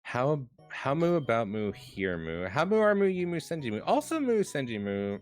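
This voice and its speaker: A fuzzy puppet-like creature babbles with a nonsense syllable stuck onto each word, as a man puts the voice on. The voice sits above his normal low register. Faint music plays behind it. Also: huge pitch swings, moderate pace, a little mellow. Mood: silly. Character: fuzzy Muppet-like creature saying mu after every word.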